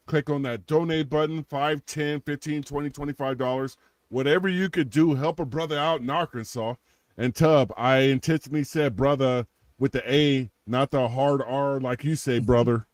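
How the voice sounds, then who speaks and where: Man, 40s, podcast co-host, joking, rambling, restarts, mid pitch, dry close sound. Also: no room sound. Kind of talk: casual podcast chat with sponsor-style plugs.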